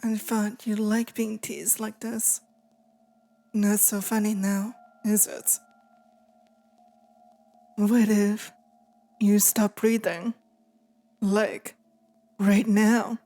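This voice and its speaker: Actress as taunting she-demon, ASMR roleplay; mellow, unhurried, intimate and menacing, with music underneath. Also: mid-range voice, level tone. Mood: teasing, ominous. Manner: slow, teasing, menacing murmur to the listener. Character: possessive, taunting female sleep paralysis demon.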